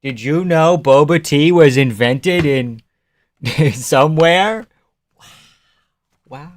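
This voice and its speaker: A man's voice: a little high, takes it slowly, dry recording. A young man hosting a live stream, chatting casually and sarcastically while reading viewer comments. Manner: sarcastic, offhand, swears and laughs often.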